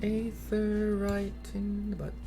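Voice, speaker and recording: A man giving casual gameplay commentary in a rambling, halting, offhand way. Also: high voice, monotone, music in the background. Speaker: video game streamer. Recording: clear background noise, keyboard typing.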